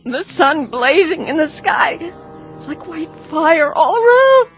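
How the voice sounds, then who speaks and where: A frightened, submissive wife in a radio drama. She speaks slowly and carefully, her tremulous voice swooping extremely widely over light music. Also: high-pitched, big pitch swings, heavily acted. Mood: terrified. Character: terrified, submissive small-town wife, anxiously agreeable.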